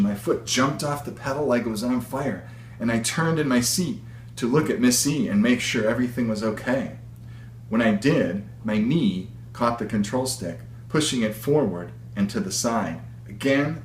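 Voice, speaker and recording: A man reading a story aloud as its author, in a measured, expressive storytelling manner. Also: mid-range voice. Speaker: author reading his own book. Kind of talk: read-aloud story narration. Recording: echoey room with faint hum.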